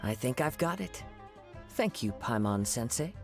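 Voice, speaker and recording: A man's voice: medium pitch, very animated, measured pace, vocal fry, loud music behind the voice. A man voicing a humble, poetic young wandering samurai in a video game, polite, composed and formal.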